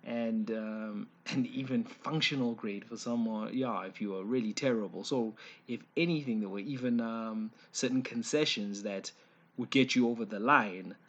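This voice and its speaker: Slightly raspy South African man. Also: on the low side, normal speed, dull audio. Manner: hesitant, conversational, self-correcting. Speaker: online commentator. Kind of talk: informal spoken opinion piece.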